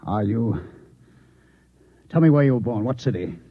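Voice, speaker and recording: A man playing a coolly menacing Martian infiltrator posing as a newspaper man in an old-time radio drama, measured, formal and insinuating. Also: medium pitch, animated, natural voice. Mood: controlled, probing. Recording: echoey, phone-like old radio drama recording.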